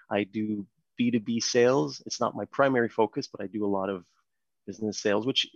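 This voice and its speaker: French canadian guy on a podcast, lowish voice, kinda muffled dry audio, lots of ums. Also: no room sound. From Montreal, Canada. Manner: relaxed storytelling with frequent ums and restarts. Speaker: podcast guest, company co-founder. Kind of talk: casual interview talk.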